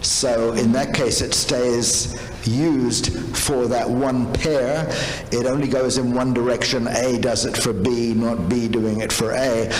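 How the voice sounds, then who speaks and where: A man with a mid-pitched voice asks scholarly questions in careful, formal phrases. He is measured and deliberate. The room rings around him, with faint noise behind. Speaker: academic asking questions after a talk. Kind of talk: academic discussion, long considered questions. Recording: echoey hall with noise.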